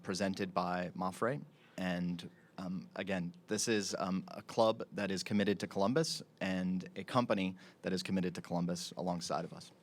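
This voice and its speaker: A deep-voiced man answers at a press conference as a club executive. He speaks in a measured way and pauses now and then on fillers.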